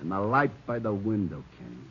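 Volatile, desperate gangster, wildly swinging pitch. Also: male voice, very animated, fairly quick. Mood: menacing, pleading. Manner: swings from low menacing murmur to wild shouting. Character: desperate cornered gangster, bitter, taunting, volatile older brother. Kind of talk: 1950s radio crime drama acting. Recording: muffled, noisy 1950s radio recording.

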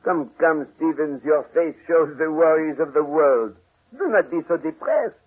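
An actor playing a clever, patient Belgian detective with a French accent and precise, formal phrasing, in 1940s radio mystery style. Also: male voice, on the high side, animated, unhurried, faint music behind. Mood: calm, self-assured. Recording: muffled, band-limited 1940s radio recording.

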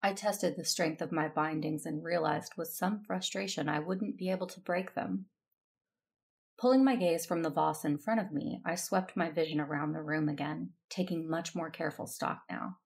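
Female Jedi lead for audio drama: earnest, determined, introspective, on the lower side with gravelly edges. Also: moderate pace. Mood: grim, reflective. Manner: introspective, earnest first-person narrating. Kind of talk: audio drama acting with narration.

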